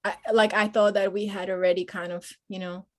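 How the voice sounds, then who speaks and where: A woman in her forties, born in Peru, talking as a podcast guest about her life, with many pauses and fillers. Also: medium pitch, little pitch movement. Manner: hesitant, many fillers, speaks openly and personally. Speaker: guest, Marine veteran and nonprofit founder. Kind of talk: conversational podcast interview.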